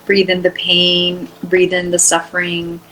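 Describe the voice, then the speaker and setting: A woman leading an unscripted guided meditation in a soothing, unhurried way, with long pauses between short phrases. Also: fairly low pitch, monotone, a bit bright, faint music behind, poor audio.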